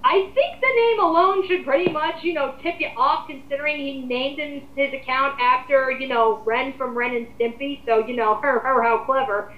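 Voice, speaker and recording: A woman's voice: high voice, moderate pace, hardly any pauses, reverberant, muffled recording. A woman, giving blunt, rambling, emphatic opinions in a casual group discussion that she leads. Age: 20s. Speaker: host leading a group discussion. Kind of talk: casual opinionated commentary chat.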